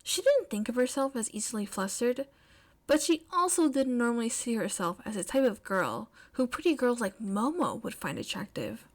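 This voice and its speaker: A woman's voice: a little high, big pitch swings, normal speed. A woman reading scripted fiction aloud as an audiobook-style narrator. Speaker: podfic narrator. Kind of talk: scripted story narration, with casual chat afterwards.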